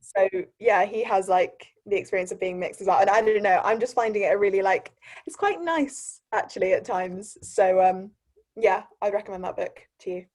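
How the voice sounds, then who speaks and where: Young woman, interview guest, mid-pitched, halting, laughs easily. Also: darkish tone. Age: young adult. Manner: laughs often, hesitant with many "um"s. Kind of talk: casual interview over a video call.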